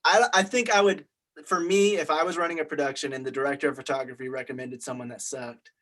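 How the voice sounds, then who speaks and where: A man with a voice a little higher than average, chatting in a group discussion. He speaks conversationally and often softens his points as he goes. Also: steady tone, quick pace, crowd noise. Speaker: media studies student.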